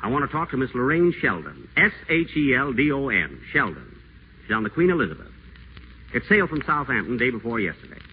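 An actor playing an acerbic, pompous radio celebrity who schemes, in a 1940s radio play. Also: male voice, medium pitch, very animated, a little fast, close to an everyday voice. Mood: scheming. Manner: sardonic, theatrical, wheedling on the phone. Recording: tinny old radio broadcast, faint hiss.

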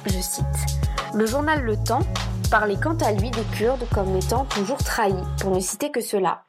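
A woman's voice: a little high, normal speed, music in the background. A woman hosting, asking composed questions and reading out press headlines. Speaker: interviewer and co-host. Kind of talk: podcast interviewing and reading headlines.

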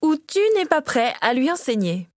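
A woman's voice: sits high, animated, moderate pace, no echo, clean studio sound, pitched above the performer's own voice. A woman voicing a commanding, scornful and angry mother in a fantasy audiobook. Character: Victoria, furious domineering mother in a fantasy tale.

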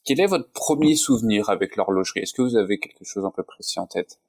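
Male podcast host, low voice, halting questions with fillers. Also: lively intonation, creaky, a little mellow. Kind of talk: interview hosting.

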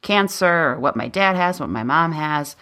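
Podcast host: low voice, earnest and personal, conversational interviewer. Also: expressive, moderate pace, pushed and bright. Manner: earnest, personal, shares own story.

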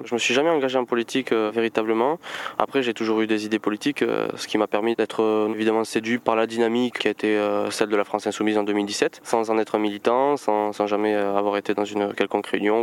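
A young man giving interview answers in a halting way, repeating words. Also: lowish voice, clean tone. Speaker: doctoral student, citizen-list member.

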